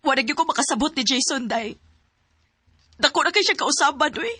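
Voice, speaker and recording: An anxious young woman in love, bright and fairly high in voice, with a Cebuano accent. She pleads with open emotion in a radio love drama, recorded close and dry. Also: a little fast, no echo. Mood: distressed. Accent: Cebuano Filipino. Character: anxious young woman in a strained romantic relationship.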